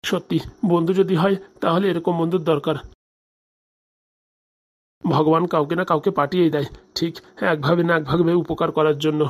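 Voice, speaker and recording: A man's voice: a little high, fairly even intonation, moderate pace, close to an everyday voice. A man voicing a homesick, honest middle-aged uncle in a comedy cartoon, with a plaintive, earnest manner. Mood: grateful. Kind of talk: Bangla comedy cartoon dialogue.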